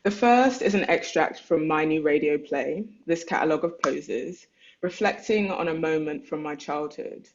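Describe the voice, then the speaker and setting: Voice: medium pitch, normal speed, muffled recording. A lecturer giving an online talk about their own thesis, thinking aloud, searching for words, and reading their own letters aloud. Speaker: lecturer and artist.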